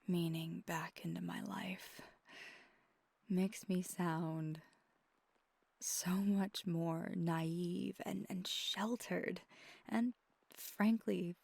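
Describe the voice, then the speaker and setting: A woman playing a wistful, tender vampire girlfriend in an intimate ASMR roleplay, confiding in a soft, halting, breathy half-whisper close to the mic. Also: fairly low pitch, no echo, heavily acted. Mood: tender, reflective. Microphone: close microphone.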